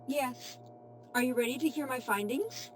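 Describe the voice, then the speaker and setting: A calm, helpful suit AI, played by a woman in a fan audio drama. The high female voice gives measured, formal reports over clear background noise. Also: natural voice. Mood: calm, informative. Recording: clearly noisy home recording.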